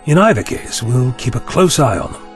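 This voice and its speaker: A man's voice: medium pitch, very animated, brisk, over music, natural voice. A man playing a courteous, wry time-travelling eccentric with lost memory, speaking formally in an audio drama. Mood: thoughtful, puzzled. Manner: formal, wordy, inquisitive.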